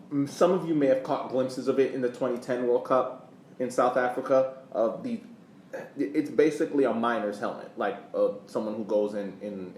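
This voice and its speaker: A man co-hosting a casual sports podcast, explaining things in a halting way, often repeating words as he goes. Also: lowish voice, a little mellow. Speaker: podcast co-host. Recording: roomy echo, clear background noise.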